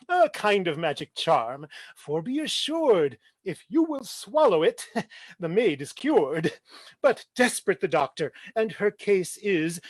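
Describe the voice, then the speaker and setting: A man's voice: sits high, no room sound, full character voice. A man voicing a weeping male stage player who mourns the comic muse, reading verse in a theatrical, declamatory way. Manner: theatrical, declamatory, laughing through lines. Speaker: stage reader.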